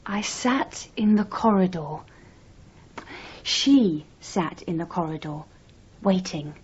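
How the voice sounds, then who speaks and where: A dreamy woman writer in a radio play, dramatising her own life as literary narration. She speaks slowly and huskily. The recording is slightly dull. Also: fairly low pitch, animated, darkish tone, slightly rough, full character voice. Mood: brooding, literary. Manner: deliberate, self-dramatising. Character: dreamy, self-narrating aspiring woman novelist.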